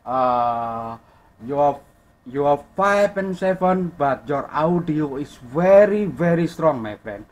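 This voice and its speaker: A man with an Indonesian accent speaking as an amateur radio operator, friendly and halting, repeating phrases and spelling out callsigns phonetically. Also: fairly high pitch, lively intonation.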